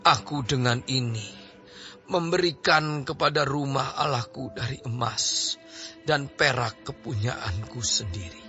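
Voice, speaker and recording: Slow, stately old king. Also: mid-range voice, pushed and bright. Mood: solemn, earnest. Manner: declamatory, reverent, deliberate. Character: aged King David, solemn, devout, stately ruler. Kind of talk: audio drama Bible acting. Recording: slightly dull audio under clear music.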